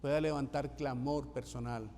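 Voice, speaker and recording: A man's voice: mid-range voice, steady tone, normal speed, gravelly, dark tone, in a large hall, slight background noise. A man from Colombia, preaching a sermon as pastor, emphatic and repeating key phrases for rhythm. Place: church sanctuary.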